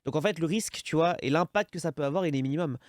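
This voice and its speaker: A man's voice: a little high, quick pace, no room sound. Chatty Frenchman in his thirties, dry sound. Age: 30s. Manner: chatty, rambling, many restarts and "tu vois". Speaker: podcast host. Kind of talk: casual interview chat.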